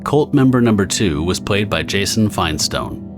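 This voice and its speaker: Male narrator for pulp-style audio drama: very low register, scripted dramatic storytelling, prominent music bed behind. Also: lively intonation, normal speed.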